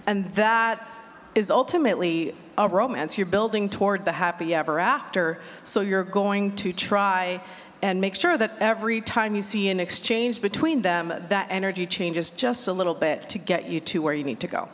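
A woman with a mid-range voice gives an instructive panel explanation. Her phrasing is deliberate, and long reverb from a hall surrounds it. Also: medium pitch. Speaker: panelist, romance storyteller. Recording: echoey hall.

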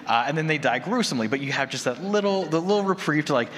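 A man's voice: fairly high pitch, animated, fast talker, fairly bright tone. A man speaking casually as a panelist in a live discussion, with a descriptive storytelling manner. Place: large echoey room at a convention panel. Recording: echoey hall, noticeable background noise.

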